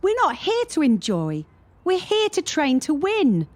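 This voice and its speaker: A woman's voice: high-pitched, huge pitch swings, a little slow, exaggerated voice. A woman playing a cynical, hardened young gymnast from Kyiv in a radio play, blunt and world-weary. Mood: dismissive, cynical.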